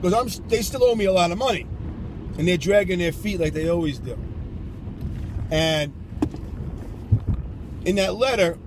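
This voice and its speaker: A man's voice: high voice, normal speed, long pauses. Combative man rambling over traffic noise. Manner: combative, repeats himself, heavy with "you know". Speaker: vlogger giving child support advice. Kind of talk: casual rambling monologue.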